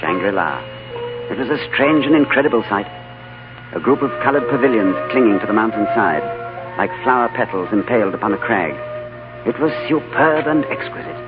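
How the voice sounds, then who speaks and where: An actor playing a calm, urbane, philosophical adventurer, speaking politely and eloquently in 1940s radio drama style. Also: male voice, lowish voice, brisk, lightly acted. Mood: awed, reflective. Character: calm, urbane, philosophical diplomat-adventurer telling his tale. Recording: tinny old radio recording, music behind.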